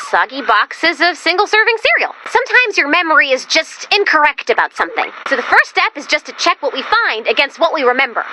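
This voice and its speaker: A woman's voice: sits high, background noise. A woman voicing a perky, chatty robot helper in a video game, peppy and quippy with theatrical delivery and dry asides. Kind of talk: animated video game voice lines.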